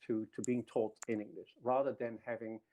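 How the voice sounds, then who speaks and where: Man giving a mellow talk. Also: mid-range voice, little pitch movement, a little fast. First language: Dutch. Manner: explanatory, with frequent restarts. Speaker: university speaker. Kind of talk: webinar presentation.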